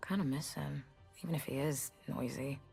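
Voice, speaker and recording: An actress playing a young female warrior in a video game, dry, sarcastic and darkly humorous, with a deadpan edge and fierce devotion. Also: deep voice, normal speed, vocal fry, husky, soft background music, full character voice. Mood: wry, romantic.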